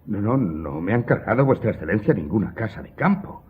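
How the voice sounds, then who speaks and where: Raspy, deferential, nervous steward. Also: male voice, fairly low pitch, animated, brisk. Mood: flustered. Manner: obsequious, faltering. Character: deferential, nervous male steward serving a count. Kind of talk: radio drama acting. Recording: muffled, dull radio play audio.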